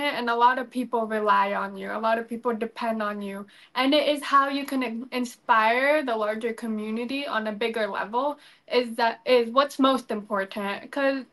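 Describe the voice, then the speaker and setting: A young woman answers interview questions in an earnest, polished way. Her voice sits a little high. She talks from a room over a video call. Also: fairly bright tone. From United States. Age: 20s. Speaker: pageant candidate, guest. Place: indoor room.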